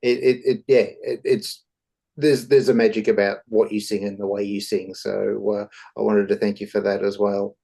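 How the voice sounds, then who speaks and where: A man's voice: on the low side, little pitch movement, moderate pace, creaky, mellow. A man interviewing musicians, asking long, rambling questions. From Australia. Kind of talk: casual interview.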